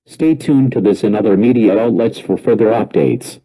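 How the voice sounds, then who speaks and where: A man reading a scripted emergency alert announcement in a formal, measured, impersonal way. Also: fairly low pitch, background noise.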